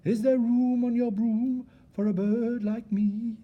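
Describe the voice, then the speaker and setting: Man doing a high-pitched, eager little bird. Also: flat delivery, slow pace, muffled recording, exaggerated voice. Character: small polite green bird, eager to join in.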